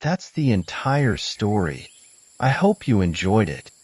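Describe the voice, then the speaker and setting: Low-pitched man with lively intonation. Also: slow, slightly rough. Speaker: channel host. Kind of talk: scripted announcing.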